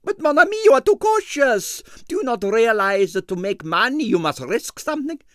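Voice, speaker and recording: A man's voice: extremely high pitch, very animated, normal speed, fairly bright tone, dry recording, clean studio sound, voice pushed up, heavily acted. A man voicing a sentimental, money-minded ballet impresario in a storybook, effusive and theatrical, with a thick foreign accent and broken English. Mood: eager, insistent. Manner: theatrical, effusive, French interjections.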